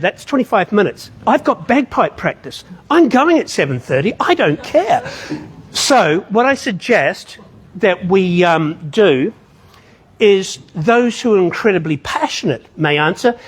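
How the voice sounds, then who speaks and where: A man's voice: high voice, breathy edge. A man moderating a debate, wry and bossy as he teases the room. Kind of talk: joking, brusque moderating.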